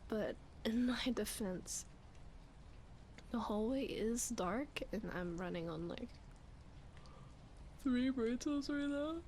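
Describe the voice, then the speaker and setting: A woman's voice: a little high, lively intonation, a little mellow. A woman acting as a sleepy, flustered young roommate in an intimate roleplay ASMR, murmuring hesitantly and often whispering, shy and playful. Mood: sheepish, apologetic. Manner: hesitant, halting, breathy murmuring with sighs. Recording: clear background ambience noise. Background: rain ambience.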